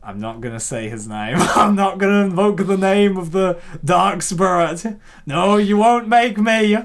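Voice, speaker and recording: A woman hosting casual comedic commentary in an excitable, theatrical, playful way. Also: mid-range voice, a little slow. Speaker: host reacting to a web comic.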